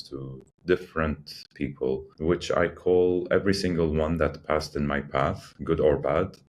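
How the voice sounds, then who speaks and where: A man in his forties from Iraq, a podcast guest speaking in a measured, hesitant way with many fillers and restarts. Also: a bass voice, a little hiss or hum. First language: English learned first, also Arabic. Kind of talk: reflective interview talk.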